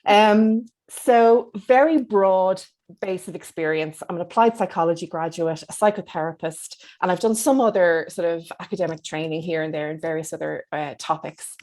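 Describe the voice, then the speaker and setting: An Irish woman in her fifties speaking as an interview guest, in an articulate, measured and reflective way. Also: lowish voice, darkish tone. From Dublin, Ireland. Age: 50s. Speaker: psychotherapist being interviewed.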